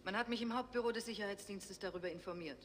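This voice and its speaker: An actress playing a cool, correct young security lieutenant, giving formal answers in 1960s German TV science fiction. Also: female voice, mid-range voice, quick pace, natural voice. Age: young adult. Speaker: actor. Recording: noisy 1960s TV soundtrack.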